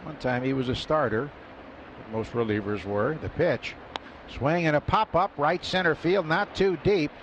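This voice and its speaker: A man calling a baseball game live on radio, his voice jumping up in pitch and volume on big plays. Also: a little slow. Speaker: radio play-by-play announcer. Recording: lo-fi radio broadcast with loud ballpark noise.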